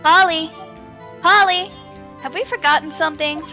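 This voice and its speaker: A woman's voice: very high-pitched, moderate pace, pushed and bright, highs cut off, soft background music. A woman voicing a young, eager but unsure female assistant in an amateur video game dub. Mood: eager, flustered.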